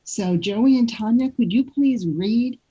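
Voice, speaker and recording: A woman's voice: fairly high pitch, a little slow, dark tone, breathy edge, dull audio. A woman interviewer asking prepared questions in the manner of a host.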